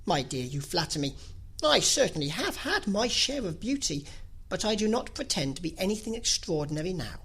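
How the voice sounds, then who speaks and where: Woman voicing a fussy, excitable middle-aged wife, swooping pitch. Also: moderate pace, husky, heavily acted. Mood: coyly flattered. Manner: emphatic, exclamatory, complaining.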